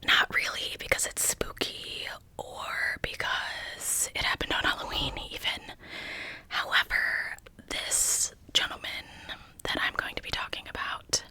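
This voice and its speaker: Asmr lady whispering a story, super bright breathy sound, chatty little asides, close and intimate. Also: a little slow, low-quality recording. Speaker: host of a true crime ASMR channel.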